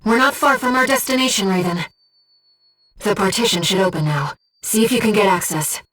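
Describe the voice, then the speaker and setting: Casting: actress for a disembodied female mission guide in a mech game; soft, breathy, measured, earnest and devoted, medium pitch, slightly distant sound. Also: close to an everyday voice. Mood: composed, focused. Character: calm, devoted disembodied female voice in a pilot's head. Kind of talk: video game voice acting, tactical briefings.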